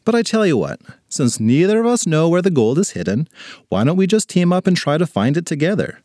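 A man's voice: fairly high pitch, sing-song, a little fast, dark tone, no echo, studio quality, pitched above the performer's own voice. A man voicing a headstrong, sarcastic fifth-grade girl with a dramatic manner in a children's story. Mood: matter-of-fact. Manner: exasperated, dramatic delivery.

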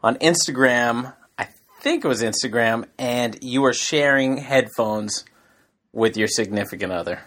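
A man's voice: mid-range voice, unhurried, a bit bright. A man in his thirties co-hosting a casual comedic podcast, wry and defensive when he is ribbed. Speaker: podcast co-host.

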